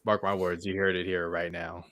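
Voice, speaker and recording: A man's voice: sits low, flat delivery, moderate pace, a bit bright, no room sound, studio quality. A man on a movie panel talking casually, with an informal, emphatic way of speaking. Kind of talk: casual chat.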